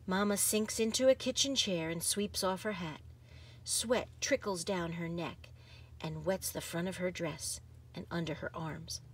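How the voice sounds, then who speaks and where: A woman reading a picture book aloud in an expressive, dramatic manner. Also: on the low side, unhurried, breathy edge. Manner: animated, dramatic, varies from hushed to exuberant. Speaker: storybook reader.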